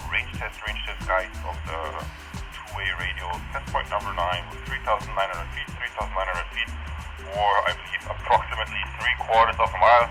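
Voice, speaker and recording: Low-voiced man on a walkie-talkie, music behind. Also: brisk, low-quality recording. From Europe. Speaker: tech product reviewer. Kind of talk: casual outdoor test commentary.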